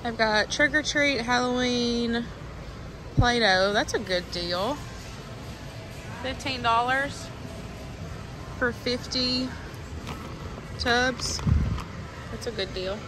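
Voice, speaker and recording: A woman's voice: fairly high pitch, slow pace, pauses often, background noise. A woman with a Southern US accent chatting casually as a shopping vlogger, informal and gushing about products and prices. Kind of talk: casual vlog chat, remarking on products and prices.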